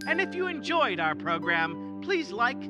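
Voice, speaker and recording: A man's voice: extremely high pitch, sing-song, a little slow. A man reading scripted closing credits as a radio announcer. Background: music.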